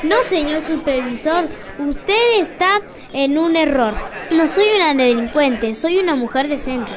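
An indignant lady shopper acted by a woman with a very high voice. She is exasperated and stubborn, protesting as in an amateur radio play. People chatter in the background. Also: lively intonation, measured pace.

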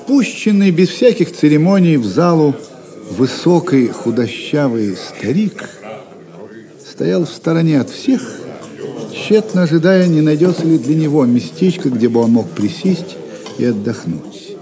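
A man narrating a Russian audio drama in an expressive storytelling manner. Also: a little high, a little slow, crowd noise.

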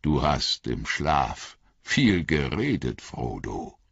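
A man reading an audiobook voices an old wizard who speaks gravely and kindly, slow and measured. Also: very deep voice, big pitch swings, a bit bright, dry recording, muffled recording, exaggerated voice.

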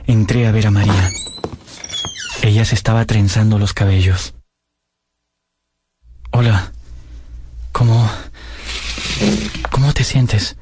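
A man's voice: lowish voice, steady tone, takes it slowly, long pauses, noisy background. An actor playing a tender, melancholy young man in love, narrating in a radio drama. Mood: gentle.

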